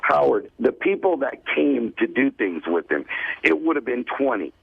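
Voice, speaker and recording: A man's voice: medium pitch, big pitch swings, moderate pace, gravelly, breathy edge, no echo. A man calling into a radio show over a muffled phone line, profane and insistent, cutting in as he talks. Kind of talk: casual phone-in chat. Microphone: telephone.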